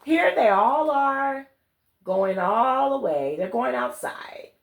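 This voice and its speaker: A woman reading a children's picture book aloud, expressive, speaking directly to her young listeners. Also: fairly high pitch, takes it slowly, reverberant. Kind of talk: children's read-along with friendly asides to listeners.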